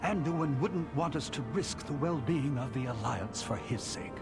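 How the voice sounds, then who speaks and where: Guy acting earnest noble ally, medium voice, firm, loud music behind. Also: medium pitch, normal speed, close to an everyday voice.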